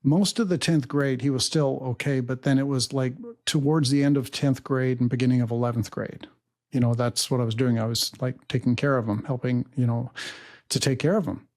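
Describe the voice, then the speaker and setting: A middle-aged man with a gravelly, fry-laden voice at an ordinary pitch, who grew up speaking German at home. He tells his story slowly and reflectively, with plenty of small pauses and fillers. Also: steady tone, darkish tone. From Canada. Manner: measured, reflective, many fillers. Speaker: podcast host and guest. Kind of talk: personal storytelling interview.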